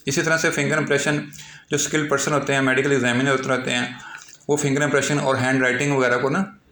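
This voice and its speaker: A man's voice: mid-range voice, little pitch movement, bright, forceful tone. Pakistani man lecturing in an echoey room. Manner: slow, halting when reading English aloud. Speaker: law lecturer. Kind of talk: explanatory lecture, reading out articles then explaining.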